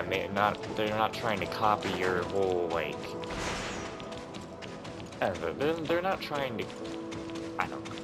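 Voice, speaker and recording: A man giving casual, informal and rambling commentary as he plays a video game. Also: on the low side. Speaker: gamer narrating a playthrough. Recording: loud game music behind voice. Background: game music.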